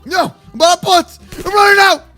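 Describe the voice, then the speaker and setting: A man improvising an excitable, exaggerated Minecraft roleplay as host of a kids' gaming channel. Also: very high voice, fairly quick, soft background music. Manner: excitable, exaggerated playful delivery.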